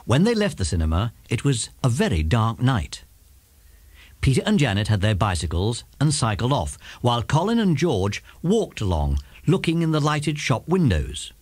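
Animated British male storyteller, dry sound. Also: medium pitch, normal speed, slightly rough, no room sound. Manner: animated, dramatic. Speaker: audiobook narrator. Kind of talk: expressive scripted storytelling for children.

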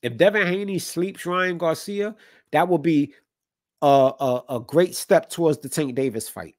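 A man's voice: a little high, unhurried, a little mellow, dry recording, clean studio sound. A man in his thirties hosting a livestream, chatting with opinions and reading chat comments, a little stuffy from a cold. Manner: conversational, opinionated, reads chat comments aloud. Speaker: boxing livestream host. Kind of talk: casual talk with live chat. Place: home room with colored lights.

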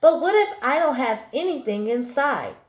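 A woman voicing a wistful, eager female number zero from a children's storybook, in an earnest, expressive way. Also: high-pitched, normal speed. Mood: dejected.

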